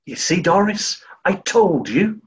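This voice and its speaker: A man's voice: high-pitched, big pitch swings, slow pace, breathy edge, heavily acted. A man playing a dim, grumpy upper-class sleuth, theatrically exasperated, in a radio play. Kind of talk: 1940s-style radio play acting. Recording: muffled, dull online audio.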